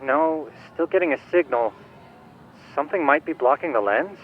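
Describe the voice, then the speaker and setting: Man playing an eager, high-voiced young hacker guy. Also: expressive, moderate pace, narrow, tinny audio, lightly acted. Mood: helpful, composed. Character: chatty, eager young male hacker guiding a detective remotely. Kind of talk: English video game voice acting.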